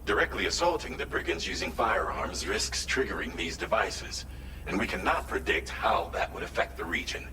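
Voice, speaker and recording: A formal mission coordinator who lays out an urgent operation in careful, earnest sentences. His voice sits low and sounds set back from the mic. There is room echo and steady background noise in this game audio. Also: moderate pace. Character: formal male mission coordinator giving briefings. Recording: roomy, distant, noisy game audio.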